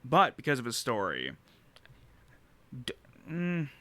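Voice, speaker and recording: A man giving casual, unscripted game commentary as a reviewer, rambling and halting as he thinks aloud and swearing casually. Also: mid-range voice, wildly swooping pitch, pushed and bright. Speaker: video game reviewer and streamer.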